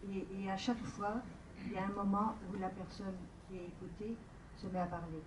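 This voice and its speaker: A woman from Algeria in the audience giving earnest, measured praise of the film. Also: mid-range voice, fairly even intonation. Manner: earnest, measured, repeats words. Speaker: audience member. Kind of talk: spoken praise in a Q&A. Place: large cinema hall. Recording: distant voice with faint room noise.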